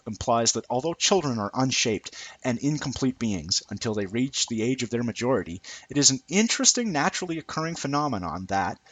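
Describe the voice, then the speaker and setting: A man reading a scripted essay aloud in a deliberate, formal way with emphatic phrasing. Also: medium pitch, big pitch swings, fairly bright tone, breathy edge, no echo, rough recording. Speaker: amateur reader of a written essay.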